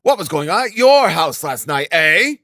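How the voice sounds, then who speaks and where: A man voicing an enraged, bullying police officer who shouts and blusters. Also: a little high, sing-song, normal speed, no room sound, clean studio sound, voice pushed up, full character voice. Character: furious bullying male police officer, middle-aged.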